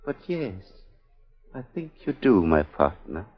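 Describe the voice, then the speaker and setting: Low-voiced sinister power-mad villain. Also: male voice, big pitch swings, unhurried. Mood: silky, coercive. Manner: theatrical, menacing, swinging from hushed to ranting. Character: sinister, power-mad man menacing his female accomplice. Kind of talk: 1940s radio acting. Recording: dull 1940s radio broadcast, music behind.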